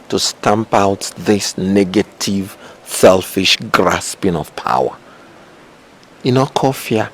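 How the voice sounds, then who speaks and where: A man's voice: fairly low pitch, full character voice. An actor playing a humble, principled nephew, speaking respectfully and earnestly to his uncle in a film drama. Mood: solemn, humble. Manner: measured, earnest, deliberate.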